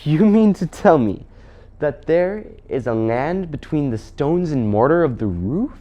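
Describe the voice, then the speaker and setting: A man's voice: on the high side, lively intonation, unhurried, dark tone, pitched above the performer's own voice. A man voicing an imperious witch queen who is sweetly menacing and condescending, with haughty archaic phrasing. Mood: sweetly dismissive. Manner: archaic, haughty phrasing with coaxing mock pity.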